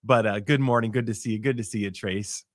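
A man's voice: lowish voice, fairly even intonation, quick pace, dry recording, clean studio sound. A middle-aged man with a cold hosting a casual morning live stream, rambling with many restarts and fillers. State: congested with a cold.

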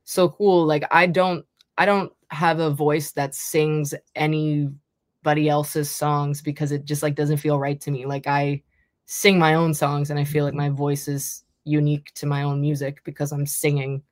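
Slightly raspy young woman, rambling. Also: level tone, unhurried, no room sound, clean studio sound. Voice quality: slight rasp in a deep speaking voice. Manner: rambling, lots of likes and restarts. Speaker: singer-songwriter guest. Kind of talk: casual interview talk.

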